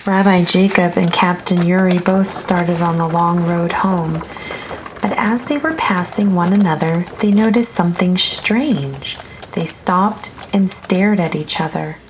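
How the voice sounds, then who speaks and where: A woman narrating a children's book aloud in an expressive storytelling style. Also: lowish voice, unhurried, noisy background.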